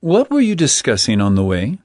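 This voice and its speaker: A man's voice: mid-range voice, huge pitch swings, brisk, a little mellow, dry recording, clean studio sound. A man playing an authoritative, solemn teacher in a dramatized audio Bible, speaking in exhorting declarations. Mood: questioning. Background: background music.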